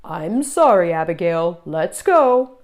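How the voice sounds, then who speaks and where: A woman's voice: on the low side, big pitch swings, slow. A woman voicing a stern male monster boss in a storybook, formal, pompous and emphatic as he instructs. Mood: disapproving.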